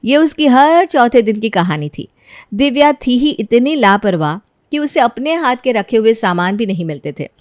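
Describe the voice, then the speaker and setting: A woman narrating a scripted family story in an even storytelling manner. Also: medium pitch, dry recording, clean studio sound.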